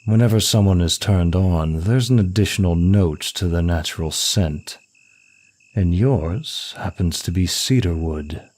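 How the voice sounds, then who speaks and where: A man playing a seductive, arrogant demon prince of lust in an intimate ASMR roleplay, speaking in a smug, suave, deliberate manner. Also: deep voice, a little mellow. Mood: confident, seductive.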